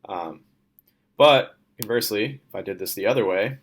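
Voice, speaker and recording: A young man, a student, walking through a demo of his software project in an informal, step-by-step way. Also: fairly low pitch, moderate pace. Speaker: student presenting a class project.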